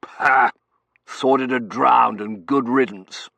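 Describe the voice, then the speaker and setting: Blustering, clipped old Victorian colonel. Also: male voice, medium pitch, fairly even intonation, slow, gravelly, bright, forceful tone, no echo, voice pushed up, heavily acted. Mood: indignant, scornful. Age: older. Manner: clipped shorthand speech, dropping sentence subjects. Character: blustery older colonel, gruff Victorian husband and father. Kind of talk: audiobook character voice.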